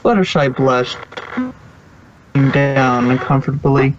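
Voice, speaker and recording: A narrator reading fan fiction aloud in a halting way, stumbling now and then over the text. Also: mid-range voice, background noise. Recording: dull lo-fi recording.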